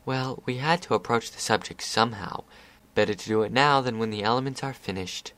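A man voicing a smooth, scheming adviser who speaks in a dismissive way. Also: medium pitch, brisk, a bit bright, close to an everyday voice.

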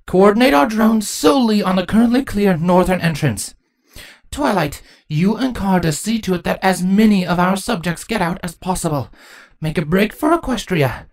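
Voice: medium pitch, animated, normal speed, voice pushed up, close to an everyday voice. A storyteller playing a commanding, grave changeling queen and mother in a fantasy story.

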